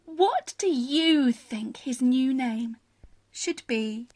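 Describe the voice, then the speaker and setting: A woman reading a bedtime story to young children, expressive and gushing, speaking directly to the listeners. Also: high-pitched, drawn-out words.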